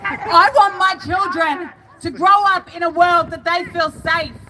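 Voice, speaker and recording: A woman with an Australian accent giving an impassioned, emphatic rally speech, often raising her voice to a shout. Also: extremely high pitch, steady tone, a little slow, some chatter behind, a little hiss or hum. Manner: emphatic, shouting, chanting slogans. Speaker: political rally speaker.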